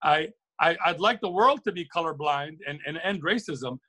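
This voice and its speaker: A man's voice: a little high, animated, moderate pace, bright, forceful tone. A middle-aged man from Lebanon speaking earnestly and reflectively as an interview guest, often repeating and rephrasing words for emphasis. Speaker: interview guest, record shop owner. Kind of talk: reflective, opinionated interview talk.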